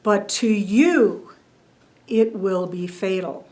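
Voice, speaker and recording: A woman voicing a haughty, sly male king of the gods who speaks in a pompous, slow, deliberate way. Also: medium pitch, a little mellow, pitched below the performer's own voice, close to an everyday voice.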